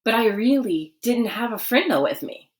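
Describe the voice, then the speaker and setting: Lady doing a mischievous schoolboy, pitched up high, all fake innocence and politeness, kids storybook. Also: lively intonation, normal speed, natural voice. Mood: bold innocence. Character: cheeky schoolboy feigning wide-eyed innocence.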